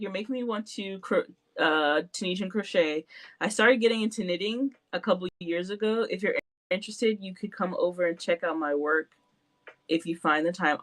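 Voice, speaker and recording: A woman in her late twenties chatting casually as a podcast host, self-deprecating and laughing often. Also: fairly low pitch. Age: 20s. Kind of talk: casual chat.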